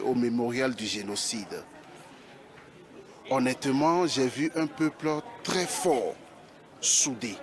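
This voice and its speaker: A man gives a spontaneous interview at an unhurried, slow pace. His pitch is average and his phrasing earnest and halting. People can be heard clearly in the background. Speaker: volunteer French teacher, interviewee.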